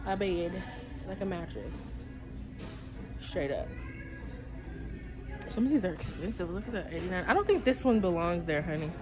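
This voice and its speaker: A woman's voice: fairly low pitch, animated, normal speed, pauses often, rough recording. A woman, chatting and thinking aloud while filming a shopping vlog on a phone in a pet store. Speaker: vlogger. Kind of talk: casual shopping vlog chat. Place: pet store aisle, indoors. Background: store music playing.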